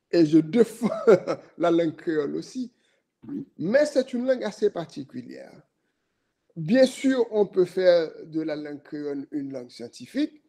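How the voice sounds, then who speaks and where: High, mellow professor. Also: male voice. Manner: deliberate, questioning, professorial. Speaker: thesis jury member. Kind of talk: academic remarks at a thesis defense.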